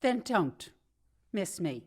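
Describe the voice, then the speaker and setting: A woman's voice: medium pitch, very animated, takes it slowly, a little mellow, breathy edge, full character voice. A woman acting a sarcastic, wisecracking career woman who has just been fired, in a comedic radio drama. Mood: bitter sarcasm.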